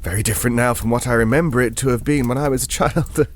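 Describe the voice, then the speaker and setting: A man's voice: medium pitch, quick pace, slight background noise, close to an everyday voice. An actor playing a genteel older Englishman, telling a ghost story in an audio drama in a hesitant, digressive, politely formal and wistful way. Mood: fond, nostalgic.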